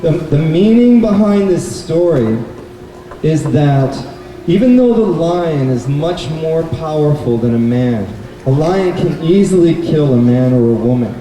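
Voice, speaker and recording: A man presenting a live puppet show, telling stories and explaining their lessons to children in a measured, didactic way. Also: a little high, animated, warm tone. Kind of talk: live storytelling and talk to children. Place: indoor bookstore event. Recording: echoey, noisy room, distant mic.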